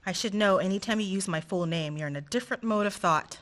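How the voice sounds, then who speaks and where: A woman playing a bright, teasing grad student. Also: mid-range voice, fast talker, lightly acted. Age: young adult. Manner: teasing, playful. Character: young female grad student, teasing and beguiling. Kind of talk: amateur radio play acting.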